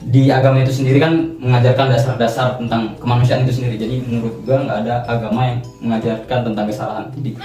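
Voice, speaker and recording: A man's voice: mid-range voice, flat delivery, rapid-fire, few pauses. A man in his twenties, a student, explaining his views casually in slangy speech with restarts. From Indonesia. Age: 20s. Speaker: student interviewee. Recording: roomy distant mic with music.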